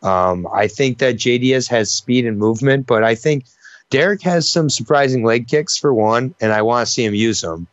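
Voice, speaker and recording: A man's voice: mid-range voice, normal speed, no echo, lo-fi sound. A man co-hosting a fight prediction show, chatting casually and rambling with lots of fillers. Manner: rambling, many fillers and restarts. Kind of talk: casual betting breakdown chat.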